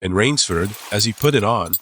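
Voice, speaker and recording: A man's voice: fairly low pitch, lively intonation, moderate pace, sharp tone, slight background noise. A man narrating a dramatized classic story as an audiobook, reading scripted literary prose.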